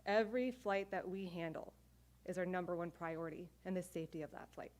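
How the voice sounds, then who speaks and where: A middle-aged woman speaking as an air traffic controller at a press conference, earnest and measured. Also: on the low side.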